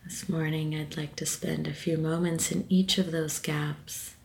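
A woman leading a guided meditation with a gentle, soothing manner and measured phrasing. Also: sits low, flat delivery, gravelly.

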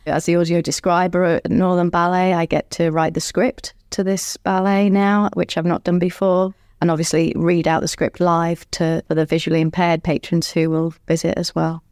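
A woman, a former ballerina who now works as an audio describer, telling stories in a lively, good-humoured way. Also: lowish voice, moderate pace, hardly any pauses, clear, resonant tone, no echo, studio quality. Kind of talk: conversational storytelling.

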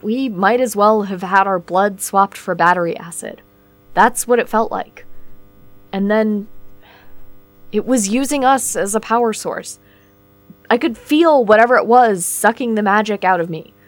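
A woman's voice: mid-range voice, a little slow, dry recording, close to an everyday voice. Actress as blunt, casual woman magic user, audio drama, middle register. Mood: shaken, intense. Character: blunt, down-to-earth female magic user on a covert team. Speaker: voice actor in a fiction podcast. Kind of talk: scripted audio drama acting.

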